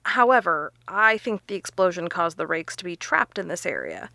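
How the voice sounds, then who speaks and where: A confident researcher in an audio drama. Her voice is bright and forceful, recorded close and dry, and she lays out her theories with emphasis. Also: on the low side, big pitch swings, moderate pace, vocal fry, no room sound, full character voice. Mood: explaining, intent. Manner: emphatic, explanatory.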